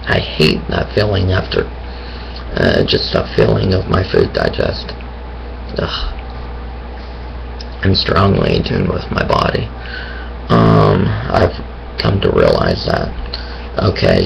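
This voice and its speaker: Hoarse, unhurried man with a creaky voice, halting and self-correcting. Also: sits low, muffled recording, background noise. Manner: hesitant, thinking aloud with many pauses and restarts. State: raspy voice. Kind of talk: casual monologue on faith.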